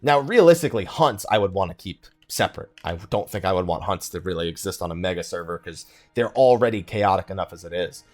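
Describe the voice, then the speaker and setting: A man's voice: sits low, expressive, quick pace, few pauses. A man giving casual let's play commentary as a video creator, rambling and thinking aloud, often sidetracking himself. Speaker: gaming video creator and live streamer.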